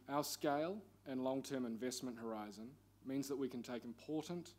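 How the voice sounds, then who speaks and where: A middle-aged man with a New Zealand accent addresses a conference panel. He speaks in a measured, formal way at a moderate pitch. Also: darkish tone. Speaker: panel speaker, investment executive. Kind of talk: conference presentation.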